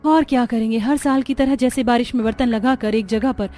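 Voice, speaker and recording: A woman's voice: a little high, steady tone, fairly quick, dark tone, faint music behind, natural voice. A woman voicing an exasperated, practical young village daughter-in-law in a cartoon story, complaining emphatically. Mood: worried, grumbling. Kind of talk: Hindi cartoon story acting.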